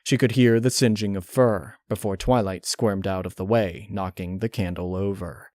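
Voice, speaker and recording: A man reading a dark horror story aloud as a scripted narrator, close on the mic. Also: fairly low pitch, fairly even intonation, moderate pace, no room sound.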